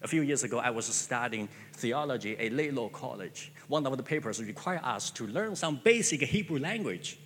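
A man's voice: medium pitch, animated, normal speed, few pauses, a bit bright, in a large hall, slight background noise. A man whose first language is Chinese, preaching a sermon as a pastor in an earnest way, repeating phrases with stammering restarts.

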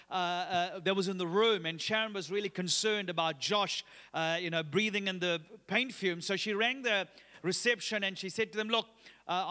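Guest preacher in his thirties, born in Sri Lanka, high and bright voice, chatty delivery full of fillers, long hall reverb. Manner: upbeat, chatty, many "uh" fillers. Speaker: guest pastor. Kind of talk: sermon with personal story. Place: church auditorium.

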